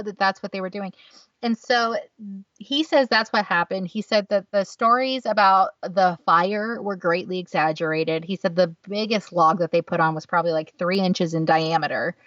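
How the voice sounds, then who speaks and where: A woman's voice: mid-range voice, normal speed, a bit bright, no echo. Chatty woman podcaster, distant dull mic. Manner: chatty, storytelling, lots of "like" and "um". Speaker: podcast co-host. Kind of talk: casual chat. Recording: dull, distant, dry sound.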